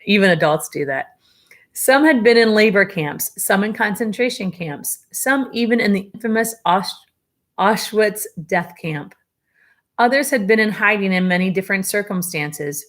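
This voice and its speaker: A woman teacher reading a memoir aloud to her students, at a steady pace with small slips she corrects, and now and then a casual aside to the class. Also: mid-range voice, normal speed.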